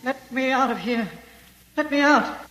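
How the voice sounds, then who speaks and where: A man's voice: very high-pitched, a little slow, bright, forceful tone, long reverb tail, heavily acted, poor audio. An actor playing a tiny shrunken man, desperate, defiant and accusing, in old radio drama style. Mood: frantic, defiant.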